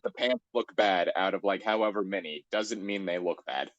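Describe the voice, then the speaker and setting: A man with a fairly low voice hosts a casual comedy podcast. He banters in a self-assured, joking way, and the sound is dry with little room on it. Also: moderate pace, no echo, lo-fi sound. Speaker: podcast host. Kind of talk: casual comedic banter while reading fan fiction aloud.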